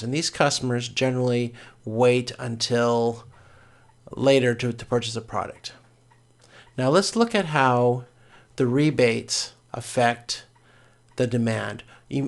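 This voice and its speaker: Creaky-voiced man teaching a recorded lesson. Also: medium pitch. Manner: explanatory, halting with self-corrections. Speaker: course instructor. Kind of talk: recorded lecture explanation.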